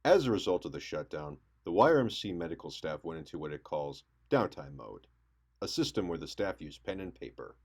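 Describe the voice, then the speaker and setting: Young male local news reporter, lower-pitched voice, scripted delivery. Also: very animated, brisk, a little mellow. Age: young adult.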